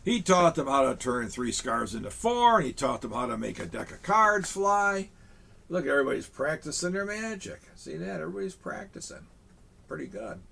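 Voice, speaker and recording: A man reading a children's picture book aloud, with chuckling, animated asides to young listeners. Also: a little high, normal speed, gravelly. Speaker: storyteller in a Santa persona.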